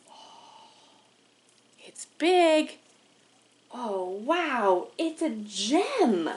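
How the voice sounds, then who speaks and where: Female children's storytime host: high, lively sing-song delivery, unhurried, full of wide-eyed questions. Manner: animated, sing-song, exaggerated wonder, asking questions. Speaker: children's librarian hosting storytime. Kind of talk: interactive storytime for young children.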